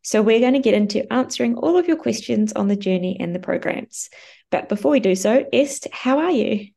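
A woman's voice: medium pitch, animated, a little fast, creaky, dark tone. A young Australian woman co-hosting a podcast, talking in a chatty way with fillers, her nose blocked up from a cold. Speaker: podcast co-host. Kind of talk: casual podcast chat.

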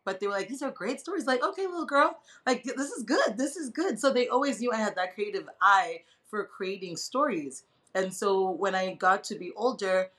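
A woman, eagerly telling her story as a podcast guest. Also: mid-range voice. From Zimbabwe. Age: 30s. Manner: excited, animated storytelling. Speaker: filmmaker guest. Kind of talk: podcast interview.

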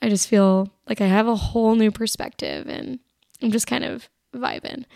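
A young woman with a raspy voice hosting her own podcast, chatting casually and rambling with plenty of "like", tired as she recovers from being sick. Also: a little high, fairly even intonation, normal speed, warm tone, no room sound, studio quality. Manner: rambling, filler-heavy, lots of "like". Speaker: podcast host. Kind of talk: casual solo podcast chat.

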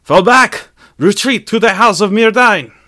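Noble elf commander, performed by a male narrator: defiant, grand, forceful storybook declamation, dry close sound. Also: high-pitched, unhurried, no echo, pitched above the performer's own voice. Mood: desperate shouting. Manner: solemn, forceful, archaic declamation.

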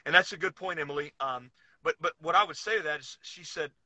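Bright, forceful man on a podcast. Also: on the high side, fast, no echo. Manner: emphatic, opinionated, repeats himself for emphasis. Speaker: co-host, powerlifting coach. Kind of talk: casual podcast banter and opinion.

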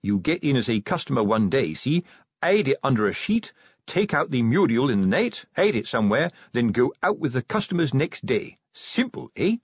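A man voicing a self-important, slow-witted middle-aged police sergeant in an audiobook, with a pompous, explaining manner. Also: mid-range voice, very animated, husky, no echo, highs cut off, pitched above the performer's own voice. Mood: smug lecturing. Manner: pompous, explanatory, tag questions.

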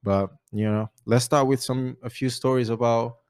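A man in his twenties from Portugal, speaking English with a Portuguese accent, hosting a relaxed podcast chat full of fillers. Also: medium pitch, moderate pace, clean tone, dry recording, studio quality. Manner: relaxed, rambling, many fillers. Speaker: podcast host. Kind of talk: casual chat.

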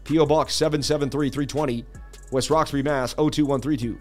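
A man's voice: medium pitch, steady tone, brisk, faint music behind. A man hosting a casual crypto live stream, rambling and laughing a lot.

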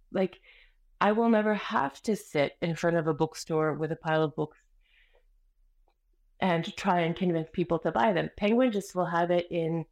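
Author lady chatting in an interview, kinda low voice, animated storyteller. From Canada. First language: Spanish. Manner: energetic, expressive storyteller. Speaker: author and podcast guest. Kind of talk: casual interview conversation.

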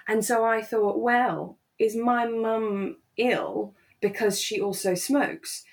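A woman's voice: fairly high pitch, slow. A woman co-hosting a podcast in casual, reflective conversation, thinking aloud hesitantly and often repeating phrases as she goes. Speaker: podcast co-host.

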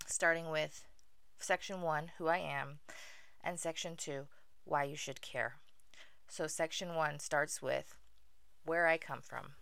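Young woman podcast host, smooth but gravelly low voice, self-deprecating humor. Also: a bit bright, dry recording. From Venezuela. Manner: wry, self-deprecating, with filler ums. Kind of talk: casual solo podcast monologue.

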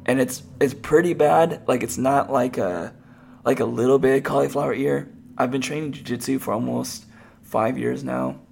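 A man with a hoarse, raspy voice, hosting a podcast and chatting casually on his own, rambling with lots of fillers and restarts. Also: mid-range voice, fairly even intonation, normal speed, darkish tone, a little hiss or hum. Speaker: podcast host. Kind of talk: casual solo podcast talk.

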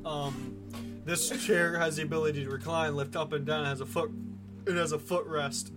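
A man's voice: a little high, steady tone, moderate pace. Casual man with music behind. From Massachusetts, United States. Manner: casual, swearing freely. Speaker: podcast host. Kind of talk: casual chat. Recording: music over the talk.

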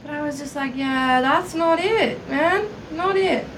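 A woman's voice: high-pitched, unhurried, noisy background. A woman co-hosting a podcast in casual chat, blunt and laughing, swearing freely. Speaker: podcast co-host.

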